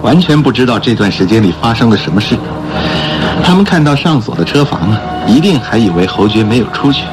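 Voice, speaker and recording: A man acting in a radio drama as a suave, mocking gentleman thief, with a theatrical, scoffing, questioning delivery. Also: medium pitch, very animated, a little fast, over music, lightly acted, poor audio. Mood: gloating. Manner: theatrical, scoffing, interrogating with exclamations.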